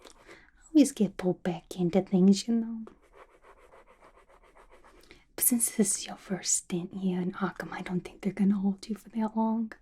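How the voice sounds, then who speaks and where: A woman's voice: mid-range voice, steady tone, normal speed, mellow, a little hiss or hum, lightly acted. A woman playing a playful, unhinged, gossipy female villain inmate in a chatty, conspiratorial whispered ASMR roleplay. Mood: dreamy, chatty.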